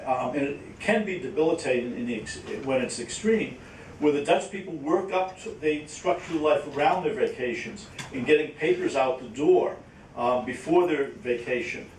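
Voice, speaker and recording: A man speaking informally at a question-and-answer session, haltingly, repeating words as he explains with examples. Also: darkish tone. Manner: halting, repeats words, illustrates with acted-out quotes. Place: echoey indoor conference room. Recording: roomy, distant mic, faint rumbling noise.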